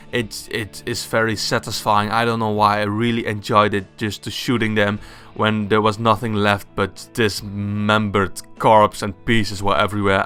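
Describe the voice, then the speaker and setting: A young man with a Dutch accent, casually giving his opinions on video games, enthusiastic and quick to laugh at himself. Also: lowish voice, a bit bright, clear, resonant tone. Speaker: gaming YouTuber. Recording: faint background music.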